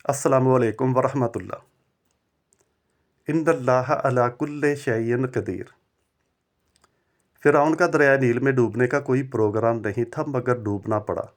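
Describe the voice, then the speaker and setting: Man, mid-pitched voice, devotional narration, formal diction, measured and reverent, religious storyteller.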